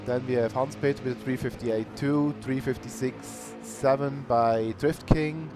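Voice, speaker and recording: A man commentating an online sim racing qualifying session in a plain, unhurried way. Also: mid-range voice, over music. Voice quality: calls himself monotonous. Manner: unhurried, plain-spoken, bursts of cheering. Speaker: race commentator. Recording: noisy stream audio.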